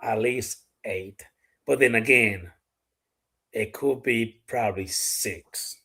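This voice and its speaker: A man's voice: lowish voice, slow, bright, forceful tone, breathy edge. A middle-aged man from the Dominican Republic with a Dominican accent, hosting a casual live chat, talking bluntly and swearing freely. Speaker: livestream host.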